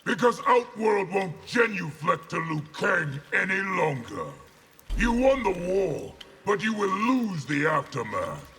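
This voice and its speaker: A proud warlord general from a fighting game issues stern commands slowly and forcefully. The voice is gravelly and high-pitched for a man, in a reverberant, noisy space. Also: big pitch swings, heavily acted. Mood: stern, commanding. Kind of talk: fighting game character acting. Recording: reverberant hall, background noise.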